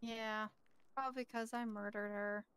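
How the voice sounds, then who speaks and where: Woman, high and very smooth clear voice, slow delivery, deadpan role-play suspect. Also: no room sound. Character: self-confessed male criminal, cheerfully accepting blame. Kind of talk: improvised in-character game dialogue.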